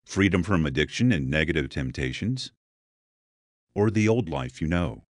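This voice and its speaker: A man with a deep voice reads a guided meditation. His delivery is deliberate and soothing, with gaps between phrases, yet the melody rises and falls in a lively way. The sound is dry, with very little room around it. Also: dry recording. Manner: slow, deliberate, soothing instruction with pauses. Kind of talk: scripted guided meditation narration.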